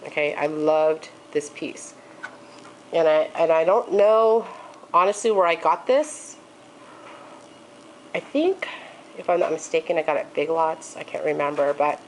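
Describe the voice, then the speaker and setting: A woman's voice: lowish voice, slight background noise, poor audio. A woman with a congested, stuffy voice hosting a casual crafting tutorial, chatty, with frequent ums and okays. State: congested from allergies.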